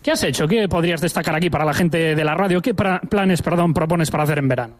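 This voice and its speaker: A man's voice: on the high side, quick pace, slight background noise. A man reporting live for radio an indoor event, chatty and upbeat as he interviews people. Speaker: field reporter. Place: indoor room with children.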